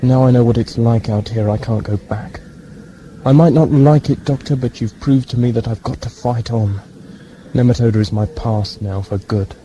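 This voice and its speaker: An actor playing a confused, guilt-ridden young man in an audio drama, emotional, halting and pleading. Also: mid-range voice, steady tone, dark tone, dull audio, a little hiss or hum, close to an everyday voice. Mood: determined.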